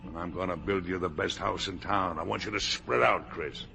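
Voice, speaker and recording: An actor playing a blustery, proud older factory boss and father in a 1950s radio drama, with a gravelly voice. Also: sits low, normal speed, sharp tone, heavily acted. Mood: earnest, proud. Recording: noisy old radio broadcast recording.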